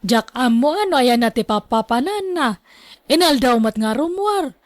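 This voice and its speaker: A woman's voice: high voice, animated, normal speed, no room sound. A woman acting in a radio drama as a gossipy younger woman, chatty and complaining, with a Filipino accent. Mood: complaining, tattling. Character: gossipy younger woman tattling to her mother.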